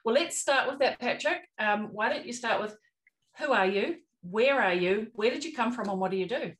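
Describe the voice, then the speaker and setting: A woman's voice: fairly high pitch, lively intonation, normal speed, fairly bright tone. Upbeat New woman hosting a podcast. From New Zealand. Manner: upbeat, promotional delivery. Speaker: podcast host. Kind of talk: scripted intro then interview chat.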